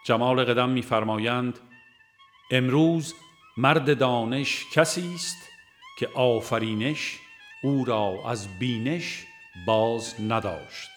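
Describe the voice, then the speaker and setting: Measured male audiobook narrator over plucked-string music. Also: medium pitch. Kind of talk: scripted religious audiobook narration.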